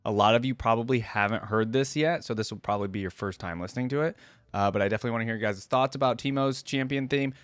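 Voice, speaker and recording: A man's voice: medium pitch, quick pace, no room sound. A man giving enthusiastic, informal reaction commentary as the host of a fan channel.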